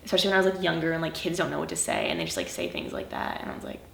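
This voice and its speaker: A woman's voice: low voice, wildly swooping pitch, rapid-fire, creaky. A teenage girl giving a documentary interview. She talks casually with many fillers and often trails off. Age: teens. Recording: echoey room.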